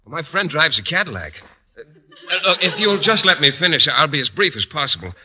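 Earnest, high-voiced shy teacher. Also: male voice, very animated, brisk, sharp tone, full character voice. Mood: earnest, apologetic. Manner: earnest, polite, hesitant. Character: earnest, shy, naive male biology teacher. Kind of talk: 1940s-50s radio comedy acting. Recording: noisy old radio recording with clicks.

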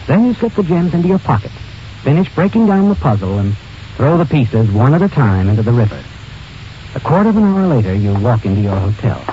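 An actor playing a knowing, ominous storyteller in 1940s radio suspense narration. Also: male voice, mid-range voice, very animated, fairly quick, a little hiss or hum, natural voice. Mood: sly, measured. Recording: muffled old radio recording. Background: clear background music.

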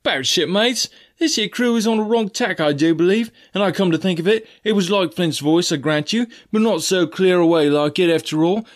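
A man's voice: high voice, brisk, few pauses, pitched above the performer's own voice, close to an everyday voice. Man voices superstitious deckhand pirate, raised and jittery, storybook. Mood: relieved. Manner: excited, rising, rough sailor talk. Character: nervous superstitious male pirate crewman.

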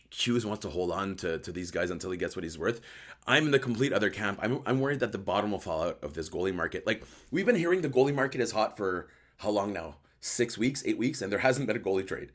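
A man with a hoarse voice hosting a casual live sports chat show, joking and thinking aloud as he answers viewers. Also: on the low side, a bit bright. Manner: joking, conversational, thinking aloud with repeated words. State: hoarse voice after a night outdoors. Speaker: host of a hockey post-game recap show.

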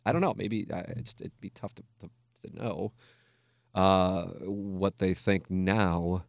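A man's voice: sits low, steady tone, slow pace, gravelly, dry recording. An American man in his forties talking candidly and casually as a radio host, rambling, self-deprecating and often swearing. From United States, Missouri. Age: 40s. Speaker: radio talk show host. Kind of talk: candid reflective casual talk.

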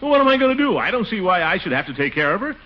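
An actor playing a flustered, blustery middle-aged uncle in a 1940s radio comedy, with an exasperated, dramatic delivery. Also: male voice, high-pitched, very animated, very fast. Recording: noisy, muffled old radio broadcast recording.

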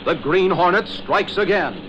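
A man's voice: sits high, expressive, a little slow. An American man announcing and narrating in dramatic 1940s radio style. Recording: muffled old radio recording with hiss.

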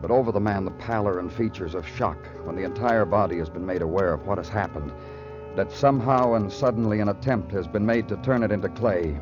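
An actor playing a brooding, poetic hard-boiled police detective in a measured, solemn radio crime drama style. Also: male voice, on the low side, close to an everyday voice. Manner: measured, solemn, literary delivery. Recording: dull old radio recording with music.

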